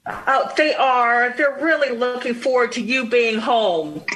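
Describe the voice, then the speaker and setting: An actress playing a warm, supportive 1950s wife and mother in an old-time radio drama, affectionate and encouraging. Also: fairly high pitch, measured pace, slight background noise, poor audio. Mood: cheerful, supportive. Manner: bright-spirited, encouraging, affectionate.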